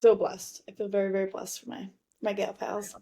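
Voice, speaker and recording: A woman's voice: medium pitch, level tone, brisk, dark tone. A young adult woman chatting casually as a podcast guest, earnest and rambling, with slang and lots of "like". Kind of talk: casual chat.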